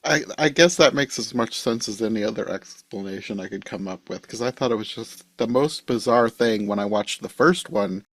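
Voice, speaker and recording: A man's voice: on the low side, normal speed, few pauses, no echo. A man chatting casually as the host of a podcast.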